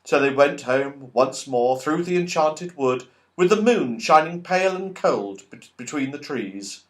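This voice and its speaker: A man reading a children's story aloud as an audiobook narrator, expressive and animated. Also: on the high side, measured pace. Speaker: audiobook reader.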